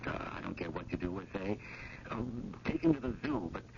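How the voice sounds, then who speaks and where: An actor playing an earnest, anxious museum curator in a 1970s radio drama, speaking with formal, insistent urgency. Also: male voice, low voice, huge pitch swings, brisk, vocal fry, muffled recording, full character voice. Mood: brisk, agitated. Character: John, earnest, anxious male museum curator pursuing a painting. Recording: noisy, band-limited 1970s radio broadcast.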